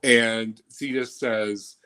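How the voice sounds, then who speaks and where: A man's voice: mid-range voice, steady tone, drawn-out words, pushed and bright, clear, resonant tone. A man co-hosting a casual podcast who recalls favorite film quotes with wry, self-mocking humor. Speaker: podcast co-host. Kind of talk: casual chat.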